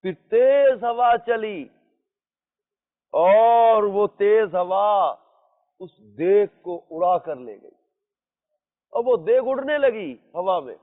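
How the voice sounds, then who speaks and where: Male religious teacher, high voice, emphatic storytelling with repeated phrases, heard in a long hall reverb. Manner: emphatic, repeats phrases, poses rhetorical questions. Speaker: religious teacher telling moral stories. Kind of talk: religious storytelling lesson to listeners. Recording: muffled lo-fi audio in reverberant hall.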